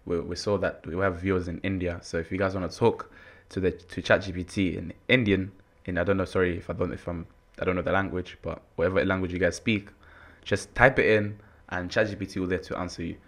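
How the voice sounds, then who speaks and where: Young man, slightly low voice. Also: a little fast. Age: young adult. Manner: relaxed, with fillers and repeated words. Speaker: co-host. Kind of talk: casual chat.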